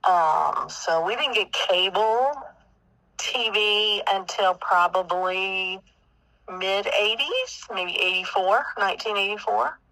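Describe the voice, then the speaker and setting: A woman's voice: mid-range voice, slow, sharp tone, no room sound, poor audio. A woman in her fifties from South Carolina with a Southern US accent, chatting casually in a family interview and thinking aloud as she reminisces. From South Carolina, United States. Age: 50s.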